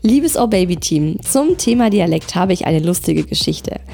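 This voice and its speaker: Husky, gravelly woman chatting on a podcast. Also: fairly low pitch, expressive, moderate pace, warm tone. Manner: reads listener messages aloud, chatty asides. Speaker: podcast co-host reading listener messages. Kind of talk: casual podcast chat.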